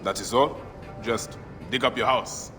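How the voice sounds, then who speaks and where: An actor playing a stern, vengeful warrior in a video game, with a grave and challenging manner. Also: male voice, medium pitch, a little slow, over music, natural voice. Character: stern, vengeful male warrior, grieving father. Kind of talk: dramatic video game acting.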